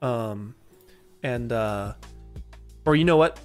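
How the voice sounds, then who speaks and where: A man's voice: medium pitch, takes it slowly, faint music behind. Upbeat young man hosting a livestream. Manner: upbeat, gushing praise, laid-back slang. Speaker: livestream host. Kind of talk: casual live interview.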